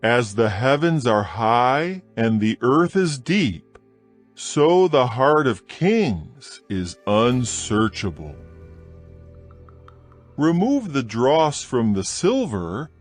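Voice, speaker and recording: A man reading scripture aloud in a dramatized audio production, with a slow, measured, solemn delivery and faint music behind him. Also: mid-range voice. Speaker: scripture reader. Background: soft music.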